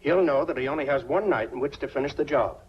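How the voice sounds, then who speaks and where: A man's voice: medium pitch, fast talker, breathy edge. An actor playing a protective, plain-spoken small-town policeman, tough but tender, in the earnest style of 1940s film noir. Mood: firm, scheming. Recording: muffled 1940s film soundtrack with music behind.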